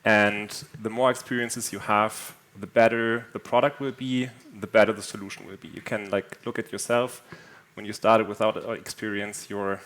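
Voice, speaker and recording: A man from northern Germany, speaking on a conference panel in a thoughtful, measured way with frequent fillers. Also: on the low side, level tone, fairly bright tone. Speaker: panel speaker, engineering executive. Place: conference stage.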